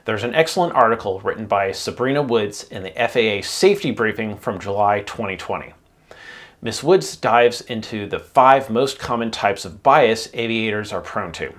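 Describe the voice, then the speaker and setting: A man hosting an aviation channel, narrating an explanatory video in a measured way with emphasis on key points. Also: fairly low pitch.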